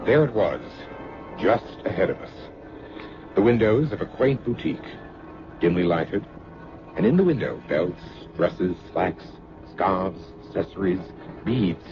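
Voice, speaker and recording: An actor playing a wary, reluctant husband in a radio drama, hesitant and skeptical. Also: male voice, sits low, lively intonation, a little slow. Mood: narrating. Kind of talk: radio drama acting with story narration. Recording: dull old radio recording, music behind.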